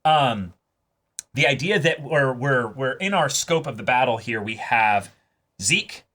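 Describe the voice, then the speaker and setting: A man with a very bright, mid-pitched voice talks as a reaction show co-host. He thinks through theories at length in a casual way, piling up clauses and halting as he goes. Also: lively intonation. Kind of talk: casual analytical discussion.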